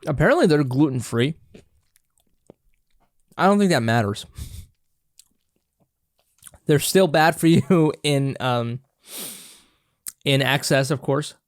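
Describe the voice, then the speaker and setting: A man's voice: a little high, slow pace, long pauses, dry recording, clean studio sound. A congested man hosting a podcast, chatting casually and rambling with many restarts while he snacks. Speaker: podcast host. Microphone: own camera and mic, close and dry.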